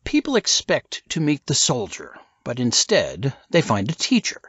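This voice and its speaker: Calculating spymaster read by a male narrator: pitched up, condescending, instructive, dry audiobook sound. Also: wildly swooping pitch, a little slow, fairly bright tone, slightly rough, dry recording, muffled recording, exaggerated voice.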